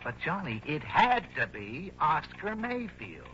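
A man playing an excitable, griping American insurance man who talks in emphatic slang in a 1960s radio drama. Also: fairly high pitch, a little slow, exaggerated voice. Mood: agitated, emphatic. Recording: dull, noisy old radio recording.

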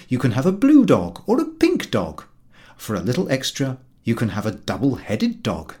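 A man narrating a humorous book as an audiobook reader, in an expressive, measured storytelling manner. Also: mid-range voice.

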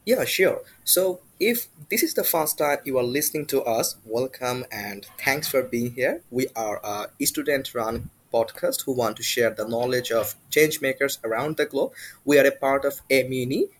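A man's voice: medium pitch, normal speed, slight background noise. A young man from Bangladesh co-hosting a student podcast, speaking politely and a little formally. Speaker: podcast co-host.

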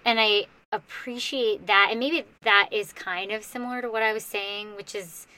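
A woman's voice: medium pitch, fairly even intonation, moderate pace, bright, forceful tone, rough recording. A woman on a podcast musing aloud in a searching, hesitant way, laughing now and then. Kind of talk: reflective casual conversation.

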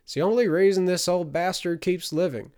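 A man's voice: high-pitched, brisk, mellow, voice pushed up, lightly acted. A man voicing a weary, scornful middle-aged woman held captive, with a bitter manner, in an audiobook. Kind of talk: audiobook character acting.

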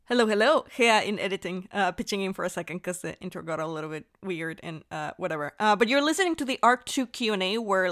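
A woman's voice: mid-range voice, a bit bright. A woman hosting a podcast question-and-answer session in a casual, chatty style. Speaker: podcast host, director. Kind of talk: casual chat Q&A.

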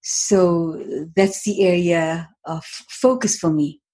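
Husky-voiced woman from Tanzania, measured. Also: lowish voice, mellow. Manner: thoughtful, measured, frequent ums and uhs. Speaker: guest, author. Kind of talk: interview conversation.

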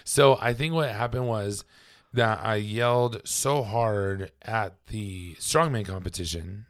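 A man co-hosting a podcast in casual chat, his voice raspy and hoarse from laryngitis, talking haltingly with many ums and trailing off quietly. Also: fairly low pitch, no room sound, clean studio sound. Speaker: podcast co-host. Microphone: podcast microphone.

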